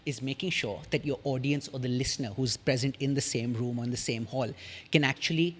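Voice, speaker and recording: A young man with an Indian English accent, a podcast guest explaining communication in an articulate, measured way, pausing often between sentences. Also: mid-range voice, a little hiss or hum. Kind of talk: casual explanatory interview talk.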